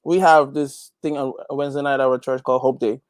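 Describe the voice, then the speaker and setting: A man's voice: medium pitch, fairly even intonation, a little fast, no echo. Man chatting, very dry sound. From Guyana. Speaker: interview guest, gospel reggae singer and youth pastor. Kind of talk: casual interview chat.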